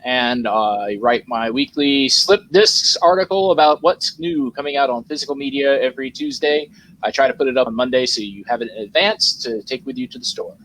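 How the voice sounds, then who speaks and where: A man's voice: medium pitch, fairly quick, fairly bright tone, low-quality recording. A man co-hosting a casual panel chat over a video call. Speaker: co-host.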